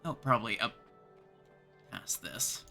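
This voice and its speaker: A man's voice: mid-range voice, big pitch swings, a little slow, creaky, bright, forceful tone, soft background music. A man with a Canadian accent, chatting casually as a livestream host and thinking aloud while he plays.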